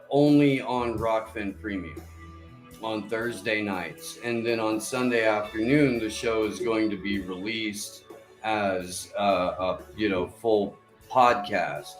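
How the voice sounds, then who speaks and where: A man's voice: lowish voice, reverberant. A man hosting a podcast in casual chat, profane and rambling. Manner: profane, laid-back, rambling. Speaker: podcast host.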